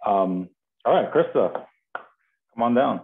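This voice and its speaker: A man in his thirties or forties informally introducing readers as host on a video call, halting and off-the-cuff, with muffled, narrow audio. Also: mid-range voice, lively intonation, creaky, slight background noise. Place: home kitchen, indoors. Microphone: video call, laptop.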